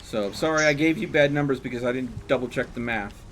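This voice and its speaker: A man's voice: medium pitch, fairly quick. Casual man streaming a game, light background noise. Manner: thinking aloud, explaining numbers. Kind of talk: casual chat while gaming.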